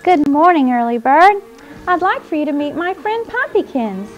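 A woman playing a sweet, nurturing clown who hosts a children's show, with a gentle, encouraging manner. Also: high-pitched, sing-song, normal speed, lo-fi sound, soft background music. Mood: cheerful, welcoming. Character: sweet, nurturing young female clown hostess.

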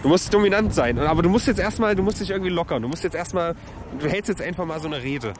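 A man's voice: on the high side, quick pace, background noise. A young man in a street prank comedy sketch, talking as a cocky, self-styled pickup coach outdoors on a city square.

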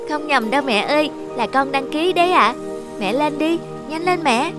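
A woman plays a young cartoon schoolboy with a high voice. He is devoted and thoughtful, speaking politely and with imploring earnestness. Music runs behind him. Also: moderate pace, close to an everyday voice. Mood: eager. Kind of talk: animated moral drama acting.

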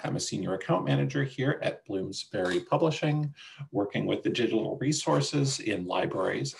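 A man's voice: mid-range voice, gravelly. A man presenting a product demo with slides as a company representative over a video call. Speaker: senior account manager presenting a product demo. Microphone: video call microphone.

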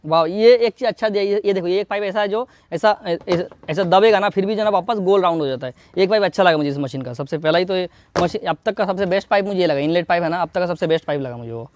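Young male product reviewer, rapid patter, higher voice, casual and chatty, filmed outdoors on a camera. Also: a little mellow. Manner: chatty, talks straight to viewers, keeps checking in with "hai na". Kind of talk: casual unboxing demo. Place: outdoor courtyard garden.

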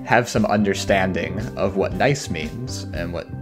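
A man speaking as a scientist guest, explaining research step by step in a measured way. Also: low voice. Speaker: dog cognition scientist, guest. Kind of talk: explanatory interview talk. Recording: guitar music behind the voice.

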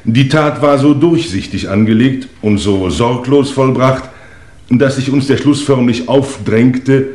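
A man's voice: medium pitch, a little mellow, close to an everyday voice. Man playing a stern police investigator, roomy. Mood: probing. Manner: formal, deliberate, official. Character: stern, methodical Scotland Yard police investigator. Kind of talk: 1950s German radio crime play acting. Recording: roomy old radio play recording.

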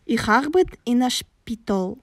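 A woman reading short phrases for a language lesson, repeating each one slowly and clearly. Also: a little high, very animated, dry recording, studio quality.

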